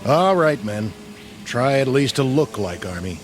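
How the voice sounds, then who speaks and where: An American actor playing a weary, cynical platoon sergeant in a radio drama, dry and colloquial. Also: male voice, mid-range voice, very animated, unhurried, slight background noise. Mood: dry, wry.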